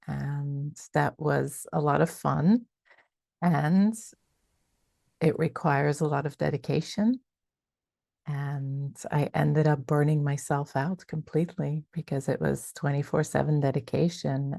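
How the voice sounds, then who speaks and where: A middle-aged woman from Belgium talks through her story in a measured, reflective way as an interview guest. Her voice sits very low and mellow, with a gravelly edge, and the recording is close and dry. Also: no echo. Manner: reflective, measured, with many fillers and restarts. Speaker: guest, coach and founder. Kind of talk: casual interview talk.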